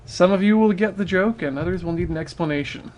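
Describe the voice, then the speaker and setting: A man's voice: high voice, quick pace, heavy background noise. A man telling casual, humorous stories to an audience on a livestream. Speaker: storyteller on a charity livestream.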